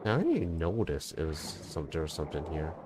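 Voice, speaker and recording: Joking streamer with a very deep voice. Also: male voice, big pitch swings, fast talker, noisy background. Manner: casual, joking, profane. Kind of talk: live gameplay commentary. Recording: voice over loud game music.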